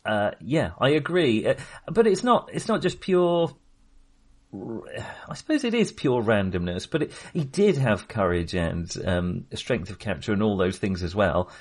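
Guy chatting on a film podcast, lowish voice, keeps qualifying what he says, agreeable. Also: very animated, measured pace. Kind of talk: casual film discussion.

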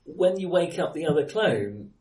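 A man's voice: a little high, sing-song, normal speed, darkish tone. A man co-hosting a casual film discussion, thinking aloud in a speculative, questioning way. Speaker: podcast co-host. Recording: roomy, distant from the mic.

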